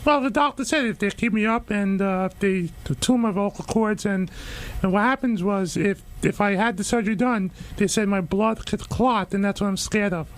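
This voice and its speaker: A man with a very high, thin voice, a radio guest giving short, hesitant answers with stumbles. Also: normal speed. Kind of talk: nervous interview answers. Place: radio studio.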